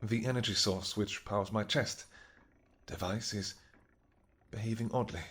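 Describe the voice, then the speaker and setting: A man playing a devoted vampire king in an intimate audio roleplay, speaking earnestly and gently in halting, formal, old-fashioned words. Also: on the low side, husky. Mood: gentle, curious. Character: devoted vampire king, tender, anxious, apologetic husband.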